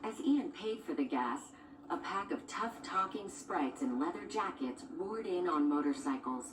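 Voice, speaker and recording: A woman's voice: lowish voice, lively intonation, unhurried, background noise. A woman reading a scripted children's storybook as narrator. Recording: echoey, distant, music behind.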